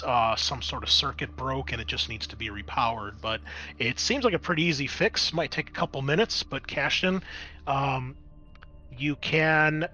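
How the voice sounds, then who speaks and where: A man's voice: fairly high pitch, sharp tone, muffled recording. A man narrating a tabletop horror game as game master, improvising in a conversational, explanatory way.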